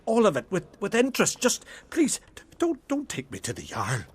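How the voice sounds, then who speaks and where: A man's voice: high voice, wildly swooping pitch, normal speed, breathy edge, exaggerated voice. A man playing a nervous, wheedling small-time swindler in a video game, stammering and pleading in an ingratiating way. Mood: panicked pleading. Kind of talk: video game character dialogue.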